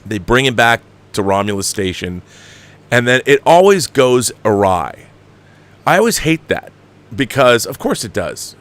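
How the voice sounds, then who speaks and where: A man's voice: mid-range voice, sing-song, a little slow, a bit bright. An American man in his fifties hosting an opinionated film commentary show, emphatic and exasperated, often repeating himself for emphasis. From United States. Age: 50s. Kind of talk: opinionated film commentary, reading viewer questions.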